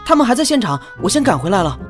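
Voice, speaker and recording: A woman voicing a nervous, eager young male trainee knight in an animated drama, earnest and easily flustered. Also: a little high, very fast, faint music behind. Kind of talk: Chinese animated drama voice acting.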